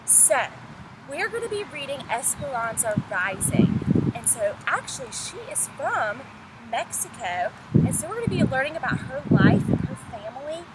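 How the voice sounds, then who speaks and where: Clear outdoor noise surrounds a woman with a fairly high voice. She is a teacher reading a storybook aloud for children. She addresses her young listeners directly as she goes. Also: big pitch swings, normal speed. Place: outdoors in the open. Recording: noisy windy outdoor recording with traffic.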